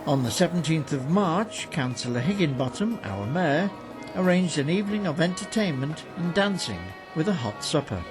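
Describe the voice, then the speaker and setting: A man's voice: a little high, lively intonation, normal speed. A man reads scripted narration for a local newsreel as its narrator. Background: music.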